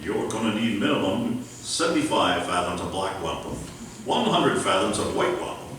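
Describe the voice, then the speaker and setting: A man's voice: fairly low pitch, big pitch swings, normal speed, fairly bright tone, slight background noise. A man playing a boastful, wealthy uncle and land trader in an old-time radio comedy, bombastic and rattling off lists, in a live community room. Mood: indignant, bragging. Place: library community room before an audience. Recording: roomy live hall, far from mic.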